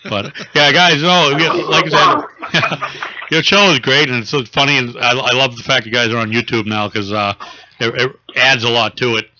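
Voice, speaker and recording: A man's voice: medium pitch, normal speed, highs cut off, noisy background. A man co-hosting a podcast in casual chat, rambling and restarting his sentences. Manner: rambling, many fillers and restarts. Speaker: podcast co-host.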